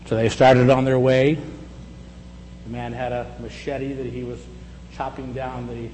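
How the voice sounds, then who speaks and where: A man's voice: medium pitch, darkish tone. Reflective man in his sixties preaching. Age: 60s. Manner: reflective, conversational, with slow emphatic pauses. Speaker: pastor. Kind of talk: sermon. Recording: echoey hall with faint hum.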